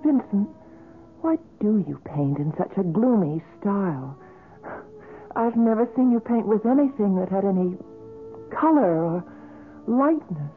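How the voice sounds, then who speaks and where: An actress playing a gentle, patient, concerned companion in 1970s radio drama style, soothing and earnest. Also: female voice, medium pitch, lively intonation, a little slow, long pauses, close to an everyday voice. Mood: gentle, coaxing. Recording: narrow-band old radio recording, music.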